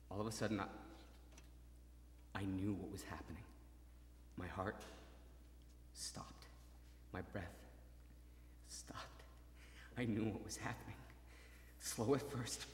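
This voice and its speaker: A man's voice: on the low side, steady tone, slight background noise. A man acting an anguished soldier in an echoing hall. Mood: tense, hushed. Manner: halting, repetitive, intense, swinging from murmurs to cries. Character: maimed, faceless young soldier, anguished, desperately hopeful. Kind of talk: dramatic stage monologue. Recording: reverberant hall, stage performance.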